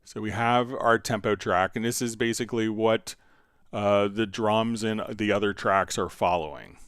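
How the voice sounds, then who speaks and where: Male software tutorial host, lowish voice, casual step-by-step explainer, dry close mic. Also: steady tone, moderate pace, clear, resonant tone, no room sound. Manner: talks through each step as he works. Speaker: host answering viewer questions on a software tutorial stream.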